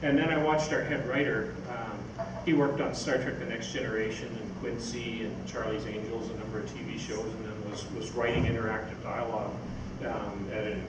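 A man's voice: on the low side, flat delivery, normal speed, hardly any pauses. Older man, echoey distant stage talk. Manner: rambling, anecdotal. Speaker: speaker, science fiction writer. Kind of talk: informal stage talk answering audience questions. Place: on stage in an event hall. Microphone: far camera microphone. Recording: echoey hall from far off, noisy.

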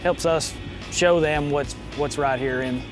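Casting: man, 30s, slightly high voice, explaining officer's work in an interview over clear music. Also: measured pace. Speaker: game warden. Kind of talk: interview talk about outdoor work. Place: outdoors by a parked truck. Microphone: lapel mic. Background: music behind the voice.

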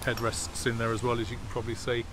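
A man's voice: mid-range voice, quick pace. Man chatting about cars outdoors. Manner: relaxed, hesitant with many fillers. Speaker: classic car vlogger. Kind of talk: casual walk-around commentary. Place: outdoor car park by a workshop. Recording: outdoor phone video with clear background noise. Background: jet washer and outdoor noise.

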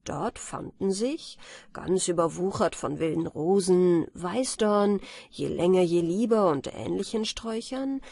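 A woman reading bedtime fairy tales as an audiobook narrator, in a measured, carefully articulated storytelling manner. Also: lowish voice, a little mellow, husky, dry recording.

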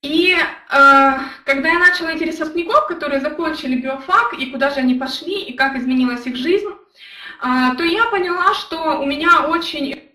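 Brief: young woman, high bright voice, earnest storyteller, roomy echo, a bit off-mic. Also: moderate pace, distant mic. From Ukraine. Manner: earnest, storytelling, addresses chat viewers directly. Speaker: network marketing leader. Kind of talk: motivational live stream talk.

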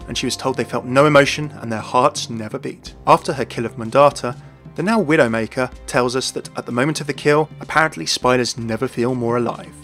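Fast-talking man narrating over music. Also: medium pitch, fairly bright tone. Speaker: narrator of a game lore video. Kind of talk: scripted narration.

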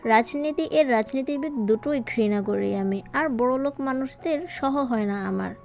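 A woman's voice: fairly high pitch, normal speed, vocal fry. A woman voicing a proud, defiant young woman who speaks bluntly and indignantly in a story. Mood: firm refusal. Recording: dull lo-fi voiceover with music.

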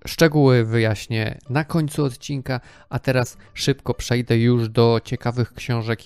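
Man, thirties, podcast host, book-review talk, crisp resonant voice, very dry sound. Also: mid-range voice, a little mellow, no echo.

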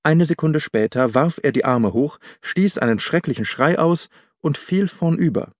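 A man reading a first-person literary story as an audiobook narrator, measured and articulate. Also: on the high side, animated, no room sound, clean studio sound.

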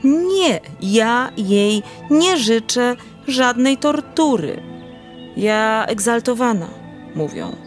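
An actress playing a lovelorn, melodramatic countess in a verse radio play, with poetic, drawn-out delivery. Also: female voice, a little high, very animated, faint music behind, full character voice. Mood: exalted, hurt. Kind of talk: theatrical verse drama acting.

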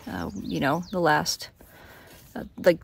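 A woman's voice: low voice, slow, creaky, slight background noise. A woman in her fifties talking casually to a phone camera on a long-distance hike, chatty with fillers and restarts. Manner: chatty, plain-spoken, many fillers and restarts. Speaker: thru-hiker vlogger. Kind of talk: casual trail vlog to camera. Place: outdoors on a mountain meadow, later inside a small tent. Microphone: phone held at arm's length.

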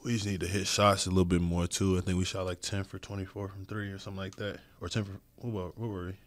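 A young man, a basketball player, answering postgame questions at a press conference in a low-key, hesitant way with many fillers, speaking into a desk mic. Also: little pitch movement, fast talker, no echo. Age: young adult. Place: press room with logo backdrop. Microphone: desk mic on stand.

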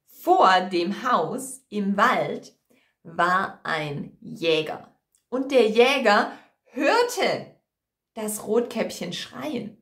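A young woman teacher telling a story for language learners, deliberate and expressive, in a small room at home. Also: mid-range voice. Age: young adult. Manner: deliberate, clearly expressive, repeats simply. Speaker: German teacher. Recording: roomy indoor echo.

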